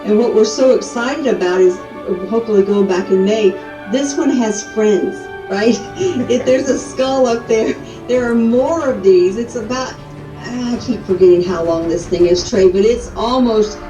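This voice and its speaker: A woman's voice: on the high side, unhurried. A woman being interviewed, chatting casually and emphatically. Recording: echoey, distant, dull sound with music.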